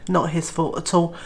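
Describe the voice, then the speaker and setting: Lowish woman's voice, stuffed up with a cold, nasal and throaty, chatty vlog talk full of uhs. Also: a bit bright. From Wales. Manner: chatty, many uh fillers. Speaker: homesteading vlogger. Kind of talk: casual vlog to camera.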